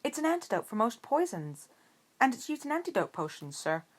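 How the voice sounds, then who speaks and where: A woman's voice: fairly high pitch, very animated, a little fast, slightly rough, voice pushed up. A woman voicing a polite, unsure young schoolboy in a storybook reading, answering respectfully and hesitantly. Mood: earnest. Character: polite, unsure eleven-year-old schoolboy wizard.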